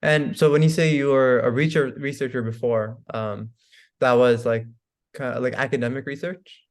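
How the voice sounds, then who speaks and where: A man's voice: medium pitch, steady tone, moderate pace. A young man hosting a podcast interview, asking questions hesitantly with many fillers. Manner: hesitant, full of fillers like "like" and "I guess". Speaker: podcast host. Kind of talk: casual interview.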